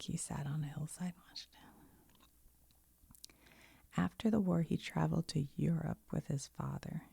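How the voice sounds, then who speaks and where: A woman whispering softly, mostly unvoiced, while she sorts and reads cards with chatty, giggly asides. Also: low-pitched, gravelly, breathy edge. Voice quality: soft whisper, often unvoiced. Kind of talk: whispered ASMR card sorting.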